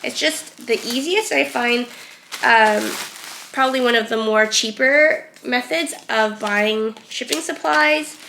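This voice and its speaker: Woman, getting over a cold, chatty with lots of ums, high-pitched. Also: slow pace, creaky, sharp tone, a little hiss or hum. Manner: chatty, with frequent "um" and "like". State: recovering from a cold. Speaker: reseller vlogger. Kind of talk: casual vlog narration.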